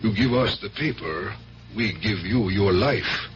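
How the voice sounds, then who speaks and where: Cold Soviet major, radio thriller: low, formal, measured threats; faint hum on muffled tape. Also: male voice, lively intonation. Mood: cold menace. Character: cold, menacing Soviet major, coolly bargaining. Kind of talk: 1970s radio drama acting. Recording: dull, humming 1970s radio recording.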